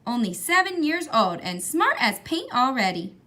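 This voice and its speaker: A woman's voice: sits high, very animated, moderate pace, bright, forceful tone, pitched above the performer's own voice, full character voice. A woman voicing a sly, playful grandmother in a picture book, with a vague, teasing manner. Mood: warm, playful.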